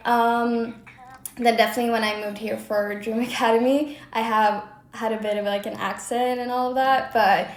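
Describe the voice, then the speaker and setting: A woman's voice: on the high side, steady tone, normal speed. A young woman from Sweden with a light Swedish accent, chatting casually on a livestream from her own room, answering comments with lots of "like" fillers. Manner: chatty, bubbly, many "like" fillers. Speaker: livestream host. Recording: roomy echo with faint music.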